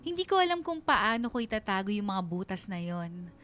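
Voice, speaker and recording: A woman's voice: medium pitch, big pitch swings, brisk, fairly bright tone, faint music behind. A woman playing a bashful young Filipina who narrates her memories in a confiding, self-mocking way for a radio drama. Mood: embarrassed.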